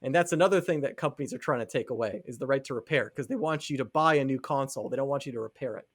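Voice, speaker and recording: A man's voice: mid-range voice, racing. A man co-hosting a podcast, talking casually and explaining his opinions on video games. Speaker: podcast co-host.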